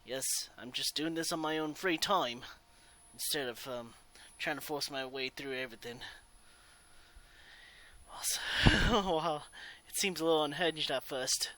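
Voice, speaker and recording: A man giving casual, rambling let's play commentary as a gaming host, often halting and trailing off mid-sentence. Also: on the high side, sharp tone. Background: video game music.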